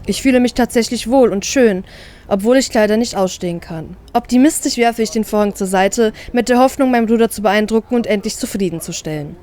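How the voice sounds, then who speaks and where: A woman reading a first-person story aloud as an expressive narrator. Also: a little high, a little fast.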